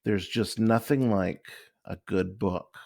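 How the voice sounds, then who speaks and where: A man's voice: fairly low pitch, slow, vocal fry, darkish tone, pitched below the performer's own voice, heavily acted. A man voicing a kindly but firm mother bear who explains things in a storybook. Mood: contented. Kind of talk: storybook character voice.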